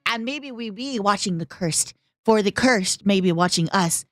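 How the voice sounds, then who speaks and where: A woman streamer chatting casually with her viewers, her voice hoarse, bubbly and talkative. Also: mid-range voice, normal speed, no echo, studio quality. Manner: bubbly, talks to chat, hums tunes. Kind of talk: casual livestream reaction chat.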